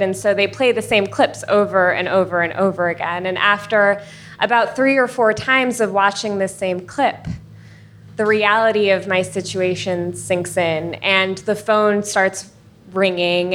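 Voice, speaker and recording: A woman in her late twenties to thirties from the United States, telling a personal story on stage in an earnest, measured way with wry asides. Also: medium pitch, a bit bright, a little hiss or hum.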